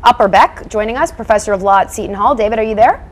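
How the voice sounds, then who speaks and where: A woman's voice: mid-range voice, fast talker. A woman in her thirties with an American accent, moderating a television panel discussion as host. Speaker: talk show host. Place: television studio.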